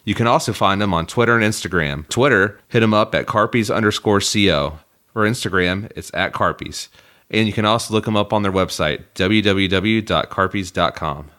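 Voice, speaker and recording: A young man with a scratchy throat, co-hosting a casual podcast and explaining things in a measured way. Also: on the low side, sharp tone. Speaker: podcast co-host.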